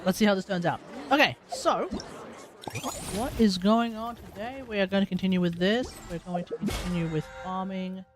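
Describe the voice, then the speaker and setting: A man's voice: high voice, moderate pace, faint music behind. An Australian man chatting casually as he hosts a live game stream. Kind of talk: casual livestream chat.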